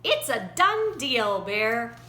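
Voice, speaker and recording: A woman's voice: fairly high pitch, big pitch swings, slow, sharp tone, full character voice. A woman voicing a chipper, crafty male hare in a children's storybook, coaxing in a perky, sing-song way. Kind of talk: children's picture-book character reading. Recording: roomy echo, faint background noise.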